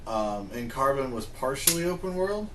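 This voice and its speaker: A man with a mid-range voice chats casually and haltingly, drifting as he goes. He sits a little away from the mic, with faint noise behind. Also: medium pitch, very animated, recorded from a distance. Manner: rambling, halting. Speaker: podcast co-host. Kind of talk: casual chat about games and movies.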